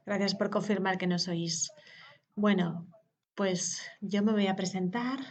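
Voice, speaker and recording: A woman, interpreting a conference talk live, hesitant with pauses and filler sounds. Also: mid-range voice, steady tone, darkish tone.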